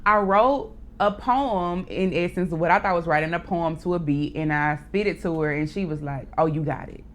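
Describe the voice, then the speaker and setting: A young woman with a deep voice, chatting candidly as an interview guest, filling her talk with "like" and "um". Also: moderate pace, a little hiss or hum. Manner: relaxed, candid storytelling with frequent "like" and "um". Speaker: interview guest, poet and rapper. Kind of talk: casual interview chat.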